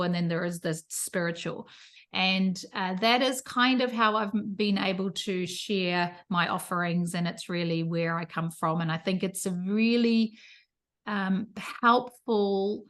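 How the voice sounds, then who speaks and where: A woman's voice: mid-range voice, unhurried, a bit bright. A woman in her fifties, originally from the Netherlands, who teaches yoga and talks in a reflective, easygoing way as an interview guest. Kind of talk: casual interview chat.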